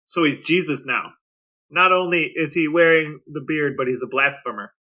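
A man with a somewhat high, bright voice chats as a podcast co-host. His delivery is casual and conversational, like commentary between two hosts. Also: moderate pace, poor audio.

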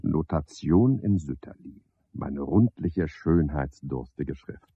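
An actor playing a cynical, scheming night porter who talks to himself in a sardonic, pedantic way in a radio play monologue. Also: male voice, extremely low pitch, animated, slow pace, slightly rough, dry recording, exaggerated voice. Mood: smug, pedantic. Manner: sardonic, pedantic, swinging from muttering to outbursts. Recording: dull, lo-fi, dry studio radio recording.

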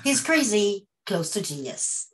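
A woman's voice: medium pitch, sing-song, a little slow. Chatty middle-aged woman hosting. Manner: chatty, playful, talks around her points. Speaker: host. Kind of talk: casual video-call interview. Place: home room. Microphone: video call.

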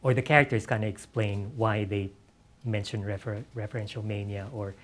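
A middle-aged man, an author answering questions on stage, speaking haltingly and repeating his words and phrases. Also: fairly low pitch, steady tone. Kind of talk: unscripted Q&A talk. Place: on stage in an auditorium with an audience. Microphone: lapel mic.

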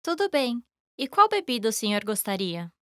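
Woman reading lesson lines, slightly high voice, slow and careful, dry close sound. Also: sing-song, pushed and bright, dry recording. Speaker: language lesson voice actor. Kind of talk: clear, slow scripted lesson dialogue.

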